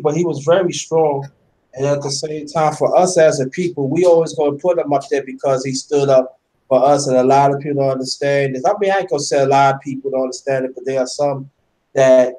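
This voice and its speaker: Host, man, 50s, high voice, earnest, repeats openers. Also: a little mellow, poor audio. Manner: earnest, repeats words when starting sentences. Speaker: channel host interviewing a guest. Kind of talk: casual interview chat.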